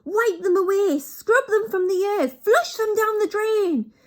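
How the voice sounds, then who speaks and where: A woman's voice: extremely high pitch, big pitch swings, slow, voice pushed up, exaggerated voice. A woman voicing a frenzied crowd of female witches who squeal and cheer. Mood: excited.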